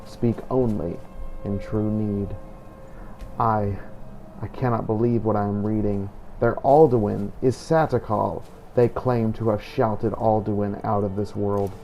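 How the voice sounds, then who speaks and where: Low, slow, creaky man reading solemnly. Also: steady tone, mellow, faint music behind. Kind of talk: role-play read-aloud in a game playthrough.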